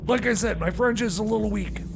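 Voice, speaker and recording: A man's voice: high-pitched, fairly quick, creaky, noisy background. A man hosting a music radio show off the cuff, flustered and hesitant, stammering and grumbling as he goes. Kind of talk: live ad-libbed comic music radio patter.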